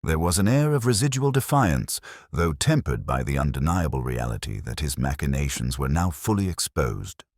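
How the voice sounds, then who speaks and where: A man narrating a scripted mystery story in a measured, literary way. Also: rumbling low, sing-song, a little mellow, no echo, clean studio sound.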